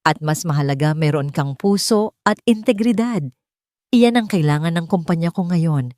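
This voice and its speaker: A woman's voice: fairly low pitch, expressive, normal speed, a little mellow, no room sound, clean studio sound, close to an everyday voice. A woman voicing a kind, decisive wealthy businessman in a story, assured and earnest. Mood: firm, earnest.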